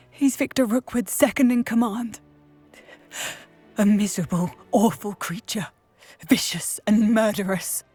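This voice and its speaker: A woman's voice: mid-range voice, fairly even intonation, takes it slowly, long pauses, darkish tone, slightly rough, soft background music. An actress playing a tearful, fearful, newly widowed mother in a video game. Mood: bitter. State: tearful, close to crying. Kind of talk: video game character acting.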